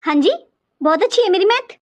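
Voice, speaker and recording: A woman's voice: extremely high pitch, lively intonation, brisk, muffled recording. A woman voicing a naively confident thirty-year-old working wife in a cartoon comedy skit.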